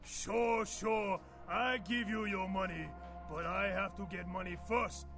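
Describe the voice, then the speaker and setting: A man's voice: sits high, fairly even intonation, a little slow. A money-grubbing old video game character. He crows and cackles in broken English, gleeful and spiteful, with music playing behind him. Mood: cheerful wheedling.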